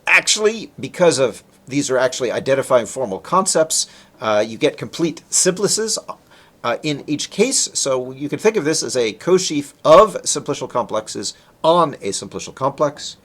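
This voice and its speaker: A man lecturing on technical material in an informal, conversational way, posing questions and then answering them himself. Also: mid-range voice. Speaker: lecturer, researcher presenting.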